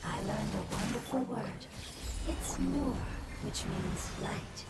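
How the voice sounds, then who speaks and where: A woman voicing a gentle, wise character in a fantasy video game, speaking articulately. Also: medium pitch, slow pace, recorded from a distance. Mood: tender. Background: loud game music.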